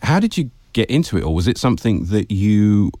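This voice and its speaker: A man's voice: fairly low pitch, very animated, brisk, dark tone, no room sound. A man hosting an interview podcast, asking friendly questions with lots of fillers. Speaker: podcast host. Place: guest's office.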